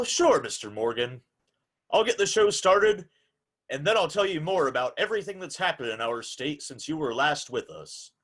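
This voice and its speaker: A man's voice: on the high side, fairly bright tone. A man playing a formal, polite old-time radio hour host, announcing in a theatrical, scripted showman's style.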